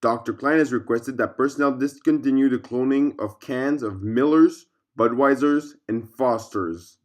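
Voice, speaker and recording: A man reading a scripted fictional case file aloud as narrator, in a formal, measured way. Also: medium pitch, a little mellow.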